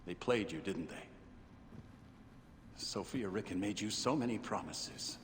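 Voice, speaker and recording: An actor playing a calm, persuasive middle-aged recruiter in a video game. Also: male voice, on the low side, a little slow, lightly acted. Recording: roomy game audio with faint noise.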